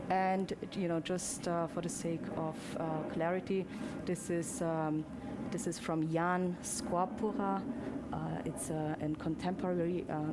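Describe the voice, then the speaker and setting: A woman's voice: fairly low pitch, heavy background noise. A woman giving an informal live demo to an audience, addressing them directly as a presenter. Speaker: presenter demonstrating immersive videos. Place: immersive presentation space with an audience. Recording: loud music behind the voice. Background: music from demo video.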